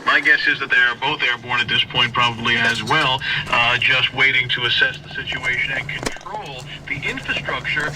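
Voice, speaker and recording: A man's voice: mid-range voice, normal speed. A man reporting live from the field, earnestly describing what he witnessed. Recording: distant car radio, heavy road noise.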